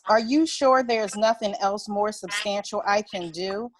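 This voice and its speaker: A woman's voice: mid-range voice, measured pace, natural voice. A woman playing an eager, polite office colleague who offers help, in a script table read.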